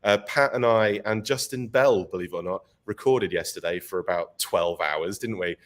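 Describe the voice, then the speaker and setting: A croaky-voiced man hosts a casual live show, chatting and laughing easily between topics. His voice sits a little low and sounds worn from being unwell. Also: moderate pace. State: croaky voice from illness. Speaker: podcast host. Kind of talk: casual live-stream chat.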